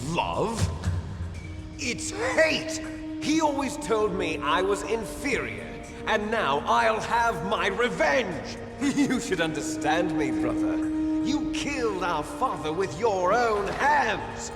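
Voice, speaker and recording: Game villain: bitter twin, grandiose and mocking, big swings in pitch, echoing hall with music behind. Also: male voice, very animated, takes it slowly, exaggerated voice. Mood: raging, triumphant. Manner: theatrical, mocking, grandiose declarations. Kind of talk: dramatic video game voice acting. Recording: echoey reverberant hall under game music.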